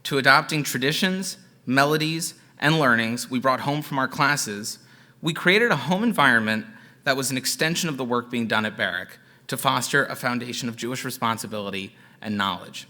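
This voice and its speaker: A young man giving an earnest, heartfelt prepared speech at a gala, thanking his parents in a measured way. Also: mid-range voice, pushed and bright. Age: young adult. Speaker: alumnus and son giving a gala tribute.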